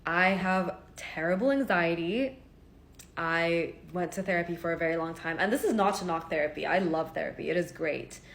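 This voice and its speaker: A woman's voice: lowish voice, moderate pace, fairly bright tone. A woman in her twenties from Hong Kong, telling a personal story in casual vlog style, earnest and chatty with many fillers. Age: 20s. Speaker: vlogger. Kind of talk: casual talking-to-camera story. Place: home.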